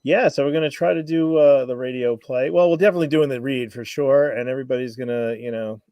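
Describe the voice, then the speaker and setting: Guy guest chatting loosely, creaky mellow voice, dry close sound. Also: mid-range voice, quick pace, no echo. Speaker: guest, radio play organizer. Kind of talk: casual chat. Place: remote video call.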